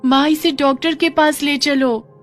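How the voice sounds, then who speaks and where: An anxious little girl as a woman narrator acts her out in a cartoon moral story. The voice is raised high and childlike, earnest and caring. Soft music runs underneath. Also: fairly even intonation, a little slow. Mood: worried, urgent.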